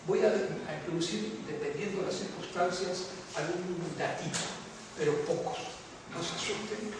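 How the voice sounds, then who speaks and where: A man's voice: high-pitched, moderate pace. An older man from the Canary Islands, speaking Spanish with a Canarian accent blended with Latin American habits, gives a reflective, rambling university lecture. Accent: Canarian Spanish with Latin American mixing. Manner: reflective, discursive, frequent fillers and asides. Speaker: economics professor giving a lecture. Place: large lecture hall. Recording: distant echoey hall with noise.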